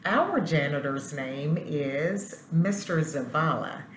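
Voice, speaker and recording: Woman, low voice, elementary principal, expressive picture-book read-aloud, roomy echo. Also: slow pace. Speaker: elementary school principal reading aloud to students. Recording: roomy echo, faint background noise.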